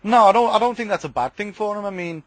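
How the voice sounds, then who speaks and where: A man's voice: high voice, fast talker, no echo. A man chats casually as a podcast co-host. He hesitates often and leans on fillers. The sound is dry and close to the mic. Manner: hesitant, many fillers like "you know". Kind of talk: casual chat.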